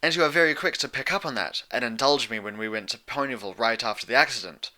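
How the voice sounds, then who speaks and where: A man voicing a proud, sharp-tongued younger-sister pony princess of the moon, speaking in formal, old-fashioned phrases for a storybook reading. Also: mid-range voice, fast. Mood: probing, formal. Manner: formal, archaic, challenging phrasing.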